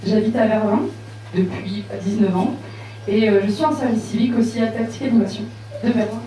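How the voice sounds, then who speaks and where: A woman in her late teens introducing herself with a lively, slightly joking manner. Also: medium pitch, brisk, slight background noise. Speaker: young panelist. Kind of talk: self-introduction. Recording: echoey hall, distant.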